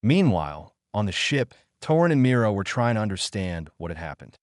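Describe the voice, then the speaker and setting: Deep-voiced male storyteller with animated delivery. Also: fairly quick, no echo, studio quality. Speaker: narrator of an audio drama. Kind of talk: scripted dramatic story narration.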